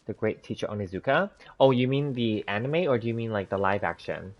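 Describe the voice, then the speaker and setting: A man's voice: on the low side, lively intonation, brisk. A man in his thirties chatting casually with stream viewers, rambling through a story with lots of fillers and restarts, laughing easily. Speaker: gaming streamer. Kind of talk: casual livestream chat with viewers. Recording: dull lo-fi stream audio.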